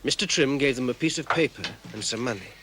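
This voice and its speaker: Measured male storybook narrator. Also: medium pitch, fairly bright tone, a little hiss or hum. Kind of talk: scripted narration for children. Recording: narration over music. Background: music.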